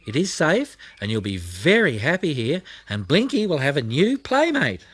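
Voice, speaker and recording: A man voicing a helpful, confident female wallaby with a gentle, reassuring manner in a storybook. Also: fairly high pitch, wildly swooping pitch, moderate pace, husky, no room sound, pitched above the performer's own voice, exaggerated voice. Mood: gentle, bright. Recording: music behind the voice.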